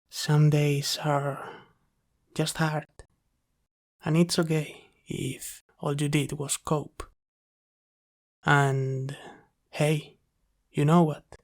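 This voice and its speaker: A man playing a gentle, apologetic sleep paralysis demon in a soft, hesitant, reassuring ASMR roleplay. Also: a little high. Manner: soft-spoken, hesitant, reassuring, pausing often.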